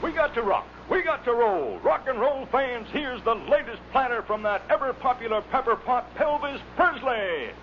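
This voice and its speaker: A man's voice: very high voice, expressive, moderate pace, hardly any pauses. A man working as a radio announcer in a vintage broadcasting style. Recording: muffled, noisy old radio broadcast.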